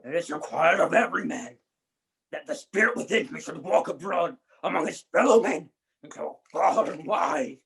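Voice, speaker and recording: A man acting a tormented, ominous ghost of a dead business partner in a radio play, proclaiming dramatically with a hoarse, strained wail. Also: fairly high pitch, animated, normal speed, fairly bright tone, full character voice. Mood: anguished.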